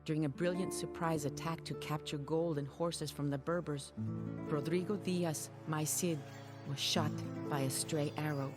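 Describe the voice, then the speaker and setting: An actress playing a proud, grieving medieval Spanish noblewoman, narrating a game cutscene in a solemn, measured, theatrical way. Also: low-pitched, fairly even intonation, lightly acted. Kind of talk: dramatic scripted cutscene narration. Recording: loud music behind the voice. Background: music.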